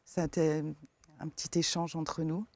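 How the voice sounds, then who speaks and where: Woman w/ eastern french accent, soft hesitant talk, lots of euh, dry room. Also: deep voice, level tone, normal speed, no room sound. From eastern France. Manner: soft-spoken, hesitant with many fillers. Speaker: forest therapy guide. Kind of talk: interview answers.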